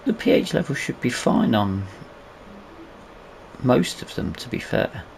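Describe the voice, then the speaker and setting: A man's voice: medium pitch, big pitch swings, a little slow, gravelly, slight background noise. A British man giving casual let's-play commentary, musing aloud to himself as he goes. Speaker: gaming video host.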